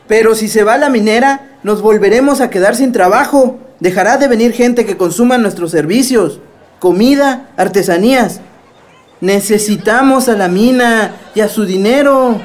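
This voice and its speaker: An actress playing a worried villager arguing insistently in a radio drama. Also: female voice, on the high side, moderate pace, a little mellow, natural voice.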